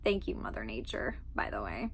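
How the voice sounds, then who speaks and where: A woman's voice: rumbling low, sing-song, brisk, creaky, breathy edge, in a whisper. Young female channel host, a bit stuffy, chatty and unscripted, lots of ums. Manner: chatty, frequent ums and asides. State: stuffy from allergies. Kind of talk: casual talk to camera.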